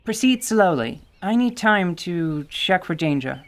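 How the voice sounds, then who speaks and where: Woman voicing tiefling warrior, calm, authoritative, measured, dry. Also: lowish voice, slight background noise. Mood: commanding. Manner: cool, measured and dryly authoritative. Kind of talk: radio drama acting.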